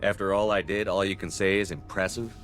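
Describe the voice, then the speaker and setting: Brisk, low-voiced soldier hero. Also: male voice, fairly even intonation, a bit bright. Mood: curious, competitive. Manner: assertive, terse. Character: confident, competitive male human space soldier hero. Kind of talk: video game voice acting. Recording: game music behind the voice.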